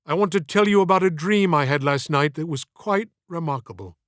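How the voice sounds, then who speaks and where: A man's voice: fairly high pitch, fairly quick, fairly bright tone, no room sound, studio quality, natural voice. Man voicing an ailing, grandiloquent old-time stage actor. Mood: tender, wistful. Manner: ornate, reflective, theatrical. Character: ailing middle-aged stage actor, eloquent, wistful and grandiloquent. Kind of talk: audiobook character dialogue.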